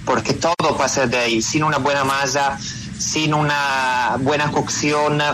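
A man's voice: mid-range voice, steady tone, measured pace, background noise. A middle-aged man from Italy speaking Spanish with an Italian accent as a radio interview guest, hesitant and full of fillers and restarts. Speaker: interview guest, pizzeria owner.

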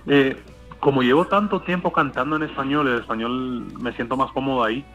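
A man's voice: medium pitch, a little fast, fairly bright tone, dull audio, soft background music. Hesitant man with Cuban-Colombian accent. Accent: Cuban Spanish softened by Colombian. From Miami, USA. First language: English. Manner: hesitant, many fillers and restarts. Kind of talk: interview answers.

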